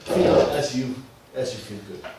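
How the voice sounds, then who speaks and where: A man with an Austrian accent and German as his first language, leading an informal workshop as a teacher trainer in a halting, deliberate way with frequent tag questions. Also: mid-range voice. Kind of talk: informal workshop talk to a small group. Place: classroom. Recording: distant mic, echoey room, faint noise.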